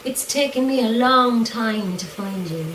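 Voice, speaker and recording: Slow-speaking, weary grieving mother. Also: a little high, a bit bright, a little hiss or hum, poor audio. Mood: nervous resolve. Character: weary, grieving mother posing as make-up saleswoman, guarded and uneasy. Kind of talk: horror audio drama acting.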